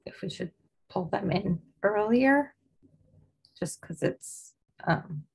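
A woman's voice: mid-range voice, expressive, slow pace, creaky, a little mellow, breathy edge. A woman helping run an online session, chatting casually on a video call.

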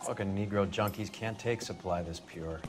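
Cynical American 1940s vice cop, male actor, slangy and sardonic, lowish voice, clear music underneath. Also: normal speed, natural voice. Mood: cynical, worldly. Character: cynical, brash 1940s vice squad detective. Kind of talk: video game dialogue. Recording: music behind the voice.

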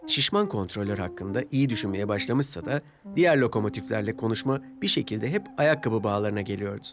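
A man's voice: fairly low pitch, lively intonation, fairly quick. A man narrating a scripted children's story as a storyteller.

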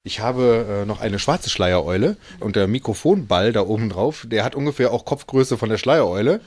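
A man's voice: lowish voice, animated, normal speed, darkish tone, a little hiss or hum. A man working as a falconer, giving casual, plain-spoken and playful explanations to children.

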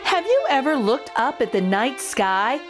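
High, animated middle-aged woman reading to children. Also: music in the background. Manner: expressive, slow, exaggerated intonation. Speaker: children's storytime host reading a picture book aloud. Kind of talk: animated read-aloud for young children.

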